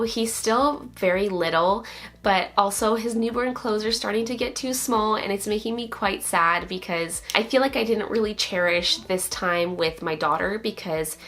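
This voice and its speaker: A young woman with a slightly raspy voice, still getting over a cold. She talks in an open, chatty way to camera, stringing thoughts together with likes and so's at a mid pitch. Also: a bit bright. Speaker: vlogger, new mother. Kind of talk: casual vlog chat.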